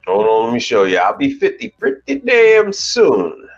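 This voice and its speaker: A man in his forties talks at an easy, ordinary pitch, rambling through a casual live chat with plenty of restarts and mild curses. The sound is dull and lo-fi. Faint music plays underneath. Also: wildly swooping pitch, measured pace. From United States. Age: 40s. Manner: rambling, casual, swears lightly, restarts often. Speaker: commercial appliance repair technician and channel host.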